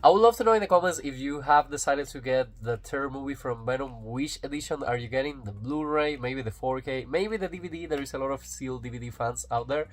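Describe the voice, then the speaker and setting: A man's voice: mid-range voice, brisk, hardly any pauses. Male YouTube reviewer, native Spanish speaker with a Latin American accent, eager and rambling, mid-range voice. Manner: enthusiastic, rambling with fillers and restarts. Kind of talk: casual unboxing review.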